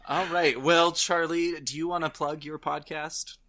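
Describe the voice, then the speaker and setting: A man's voice: a little high, steady tone, measured pace, pushed and bright. A man chatting casually as a podcast co-host, informal and joking.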